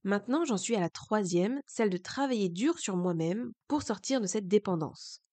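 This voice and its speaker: A woman's voice: mid-range voice, animated, normal speed, warm tone. Woman with a cold, candid podcast talk. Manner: candid, earnest, reflective. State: voice changed by a cold. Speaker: podcast host. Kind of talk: personal confessional monologue.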